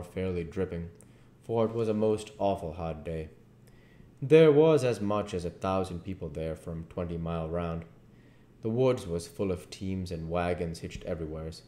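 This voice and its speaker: Guy in his 30s reading a novel out loud, deep voice, echoey living room. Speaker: narrator reading a novel aloud. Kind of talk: audiobook-style story reading. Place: living room at home, indoors.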